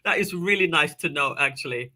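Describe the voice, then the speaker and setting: A woman's voice: sits low, animated, brisk, pushed and bright, slight background noise. A woman hosting a local TV interview show, chatty and welcoming, often laughing. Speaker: host.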